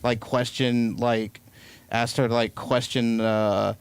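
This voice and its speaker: A man's voice: mid-range voice, level tone, takes it slowly, smooth, dry recording. A man giving a casual interview as a film maker, rambling along and peppering his speech with filler words.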